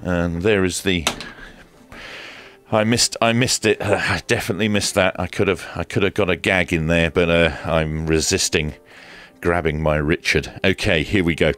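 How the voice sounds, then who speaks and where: A man's voice: deep voice, steady tone, normal speed, fairly bright tone, soft background music. A man presenting a hands-on product review in a casual, hesitant way with dry, understated humour. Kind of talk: casual hands-on unboxing commentary.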